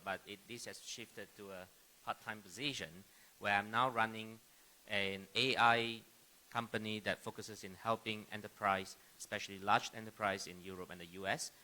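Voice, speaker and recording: Bright-voiced middle-aged man, lowish pitch. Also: low-quality recording. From Malaysia. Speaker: panelist, academic and AI company founder. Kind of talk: self-introduction on a panel. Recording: live stage microphone with clear background noise.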